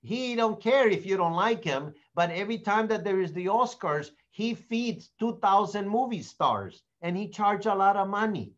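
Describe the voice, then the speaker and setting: A man with a Spanish accent, a native Spanish speaker, coaches real estate agents in a blunt, animated way and acts out sample sales dialogues. His voice sits high for a man. The recording sounds muffled and lo-fi. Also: normal speed, hardly any pauses. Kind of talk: sales training talk.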